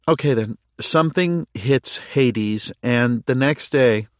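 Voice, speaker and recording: A man's voice: mid-range voice, slow, no echo, studio quality, voice pushed up, natural voice. A man voicing an earnest, thoughtful woman in an audiobook, questioning and tentatively thinking aloud. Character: earnest, thoughtful woman reasoning through a mystery. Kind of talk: audiobook character dialogue.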